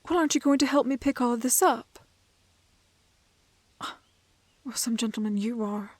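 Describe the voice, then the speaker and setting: A woman playing a prim, plucky, kind-hearted young woman in a fairy-tale roleplay, with soft voice and proper, old-fashioned phrasing. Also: on the high side, no echo, lightly acted. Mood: indignant, scolding. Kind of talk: romantic fantasy roleplay audio acting.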